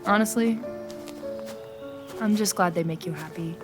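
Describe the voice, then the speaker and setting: A woman acting in an audio drama as a dry, sarcastic but loyal traveling companion with a deadpan manner. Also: mid-range voice, measured pace, music in the background, close to an everyday voice. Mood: wry. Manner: deadpan, sardonic.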